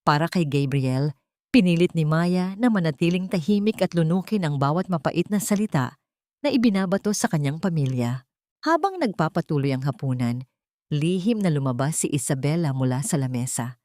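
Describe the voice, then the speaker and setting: A woman's voice: sits low, animated, moderate pace, darkish tone, no room sound, clean studio sound. A woman reading a dramatic romance story as a scripted narration.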